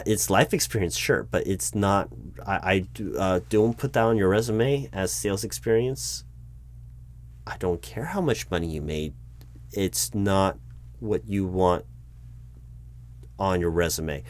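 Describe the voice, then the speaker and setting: Patient low-voiced man running a mock interview. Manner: patient, slightly exasperated, bureaucratic, with frequent ums and restarts. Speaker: recruiting manager in a roleplay interview. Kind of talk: ASMR-style job interview roleplay. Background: keyboard typing.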